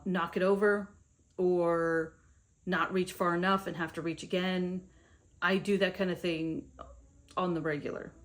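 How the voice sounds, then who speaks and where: A young woman from India with an American accent, telling her own story to the camera in a casual, chatty way. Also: on the low side. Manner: conversational, with fillers and asides to the viewer. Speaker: vlogger.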